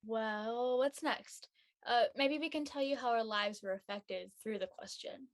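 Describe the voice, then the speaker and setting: Woman playing a higher-voiced, wistful college girl. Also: unhurried. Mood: casual, wistful. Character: practical, slightly wistful young woman leaving for college. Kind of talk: casual scripted dialogue.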